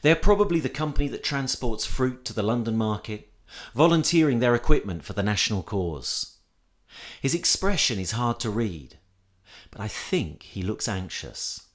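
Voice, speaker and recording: A man reading a reflective personal essay aloud in a measured, thoughtful manner as scripted narration. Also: mid-range voice, animated.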